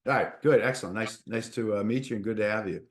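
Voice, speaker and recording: Middle-aged male podcast host with a Boston accent and a lowish voice; restarts his lines, casual. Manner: halting, repeats words while starting sentences. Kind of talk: casual interview.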